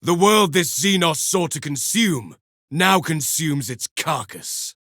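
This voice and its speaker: A man's voice: a little high, lively intonation, slow pace, fairly bright tone, no room sound, studio quality, heavily acted. An actor playing a grim, pious armored male super-soldier, declaiming solemn insults over slain foes in a video game. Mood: grim contempt. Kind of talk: video game combat voice lines.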